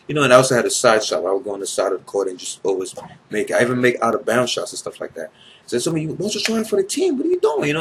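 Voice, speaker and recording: A man's voice: medium pitch, slight background noise, low-quality recording. A tired young man from Ivory Coast, a native French speaker, telling a casual story to his viewers with blunt swearing and rambling asides. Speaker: vlogger.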